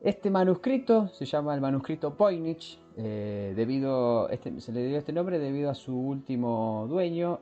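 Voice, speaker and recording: A man hosting a podcast, explaining things informally and often pausing to search for his words. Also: medium pitch, dark tone, muffled recording. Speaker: podcast host. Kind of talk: scripted intro and informal explanatory talk.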